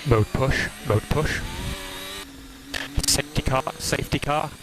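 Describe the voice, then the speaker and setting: A man speaks as a motorsport race engineer over team radio, with heavy engine noise all around. His pitch is medium, and his calls are clipped and procedural. He repeats the important instructions. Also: measured pace. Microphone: radio headset. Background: loud engine and track noise.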